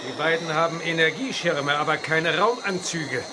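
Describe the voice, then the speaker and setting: A man's voice: a little high, normal speed, background noise, close to an everyday voice. A man in a German audio drama playing an alert, cautious professor exploring space, urgent and explanatory. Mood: grave. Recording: loud music behind the voice. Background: loud music.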